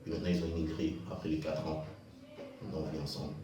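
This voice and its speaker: A man from Haiti answering interview questions in a halting way, repeating words as he talks. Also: very deep voice, completely flat delivery, far from the mic, background noise. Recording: echoey room, off-mic.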